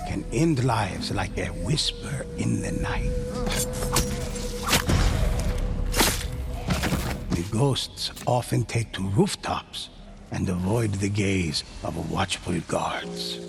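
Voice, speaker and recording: A man playing a solemn storyteller in a game, narrating samurai-era legends in a measured, hushed, dramatic way with a gravelly voice. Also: low voice, expressive, loud music behind the voice, heavily acted. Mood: hushed, ominous.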